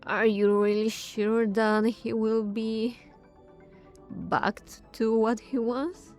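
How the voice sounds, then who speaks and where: A woman giving casual, murmured gaming commentary as a streamer, reacting in short, unhurried asides. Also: a little high, little pitch movement, a little mellow, faint music behind.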